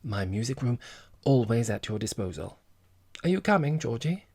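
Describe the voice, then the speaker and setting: A man's voice: medium pitch, big pitch swings, normal speed, creaky, a little mellow, slightly rough, voice pushed up, full character voice. A man voicing an imperious, gushing society woman, a grandly gracious hostess, in an audiobook. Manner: grand, effusive. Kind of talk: audiobook character voice.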